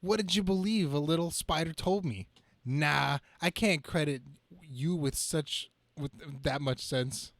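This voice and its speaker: A man's voice: a little high, measured pace, dry recording. A man voicing a young, harried, wisecracking superhero in a melodramatic, slightly stumbling comic-book reading. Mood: taunting.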